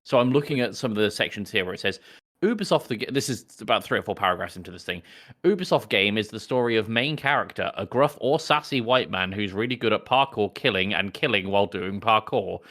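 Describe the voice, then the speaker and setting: A man co-hosting a podcast, chatting casually about games in a halting way, pausing between phrases. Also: on the low side, animated, a bit bright. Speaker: podcast co-host. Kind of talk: casual gaming podcast chat.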